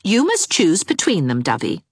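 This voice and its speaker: A woman voicing a cool, sensible young woman teacher who speaks in a brisk, matter-of-fact way. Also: lowish voice, wildly swooping pitch, husky, no room sound, clean studio sound, pitched above the performer's own voice, exaggerated voice. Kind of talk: audiobook character voice.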